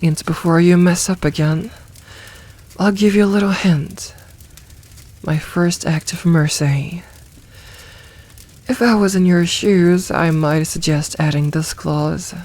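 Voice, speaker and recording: A woman voicing a possessive, teasing, dominant demoness in a soft, intimate ASMR roleplay, commanding and deliberate. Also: fairly low pitch. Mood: firm, indulgent.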